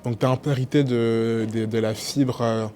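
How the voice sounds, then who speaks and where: A man's voice: medium pitch, steady tone, moderate pace, dark tone, slight background noise. Friendly male interviewer. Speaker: interview host. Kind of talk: casual interview.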